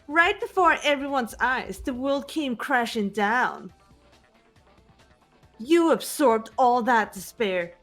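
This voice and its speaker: A woman playing a theatrical young female villain who gloats over despair in a fan-voiced game. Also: a little high. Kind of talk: fan dub of a visual novel game, dramatic acting.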